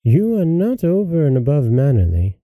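A man voicing a composed, stern female fairy in a storybook, calm and measured. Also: on the high side, vocal fry, no room sound, narrow, tinny audio, pitched above the performer's own voice. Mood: calmly stern. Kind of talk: storybook character reading.

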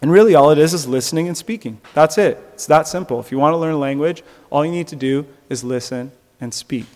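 A man's voice: fairly high pitch, fairly quick, darkish tone, in a large hall. A man preaching a sermon in an earnest way, rising at times to emphatic peaks. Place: church.

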